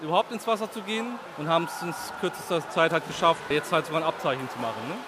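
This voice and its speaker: Young man, swim teacher, high voice, instructing, noisy pool hall. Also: lively intonation, rapid-fire. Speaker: swimming instructor. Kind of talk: instructing and explaining. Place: indoor swimming pool. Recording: noisy swimming pool surroundings.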